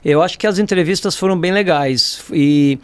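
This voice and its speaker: Brazilian man, slightly high voice, dry sound. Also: normal speed, clear, resonant tone, no echo. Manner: informal, frequent tag questions. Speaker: podcast guest, writer. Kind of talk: casual conversational storytelling.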